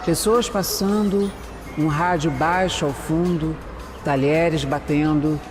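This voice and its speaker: A Brazilian woman playing a composed, analytical detective who narrates her case in an audio drama with measured, deliberate delivery. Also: low-pitched, fairly even intonation. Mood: reflective. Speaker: lead actress and narrator. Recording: loud music and street sounds behind. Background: music, urban street ambience with vehicles.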